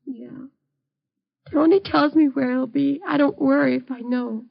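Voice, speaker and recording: A woman's voice: high voice, steady tone, measured pace, gravelly, heavily acted. An actress playing a frightened, fretful bedridden mother haunted by a fire, in an old-time radio drama. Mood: subdued. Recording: dull lo-fi vintage radio recording.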